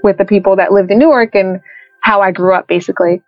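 A woman's voice: medium pitch, quick pace, no room sound. A young woman from Liberia, a festival founder, talking casually in an interview with lots of "like" and "you know". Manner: relaxed, chatty, uses "like" and "you know". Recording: slightly dull lo-fi sound with faint music.

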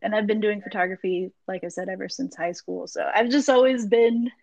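A woman's voice: medium pitch, fairly even intonation, a little fast, creaky, heavy background noise. A young woman from Florida, the founder of a magazine, talking casually as a podcast guest, rambling with many ums and likes. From Jacksonville, Florida. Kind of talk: casual interview chat.

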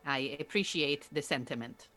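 An actress playing an Iranian refugee woman who keeps bees, a dry, earnest, patient mentor with measured phrasing in an audio drama. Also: sits low, bright, forceful tone. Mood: earnest, firm. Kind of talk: naturalistic audio drama dialogue.

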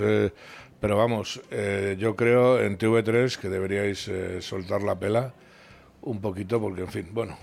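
A man's voice: fairly low pitch, creaky. A man co-hosting an interview, dropping joking asides in casual chat.

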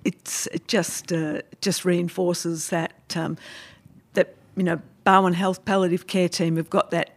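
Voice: mid-range voice, level tone, measured pace. A podcast host speaking in a hesitant, encouraging way with fillers and restarts. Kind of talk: podcast interview.

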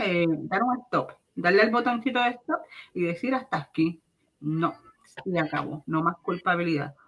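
Puerto Rican woman, dull lo-fi recording. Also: on the low side. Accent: Puerto Rican Spanish. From Puerto Rico. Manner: earnest, emphatic repetition, addresses listeners directly. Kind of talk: personal religious testimony, conversational preaching.

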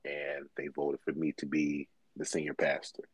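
A man in his twenties, a pastor from Michigan, talking relaxed and conversational in an interview, with frequent fillers. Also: very deep voice, normal speed, breathy edge, no room sound. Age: 20s.

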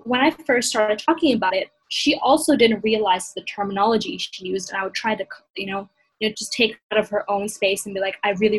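A woman's voice: mid-range voice, slightly rough, rough recording. A young woman from Hong Kong talking earnestly and reflectively in a casual discussion, with frequent fillers. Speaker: panel guest.

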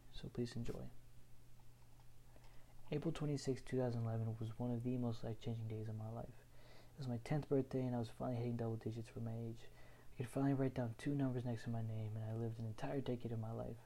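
A young man from Houston, Texas, reading his own written personal story aloud in an earnest, measured way. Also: mid-range voice, dark tone. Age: young adult.

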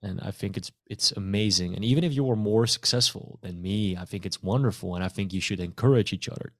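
A man's voice: fairly low pitch, brisk, no echo, clean studio sound. A man hosting a podcast interview, keen and chatty, often restarting his sentences and filling them with "you know". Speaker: podcast host.

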